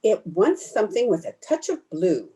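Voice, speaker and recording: A woman's voice: medium pitch, sing-song, normal speed, mellow, husky. A woman playing a blunt, disapproving, bitter family friend in an old-time radio play. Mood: grudging. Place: home, over a video call.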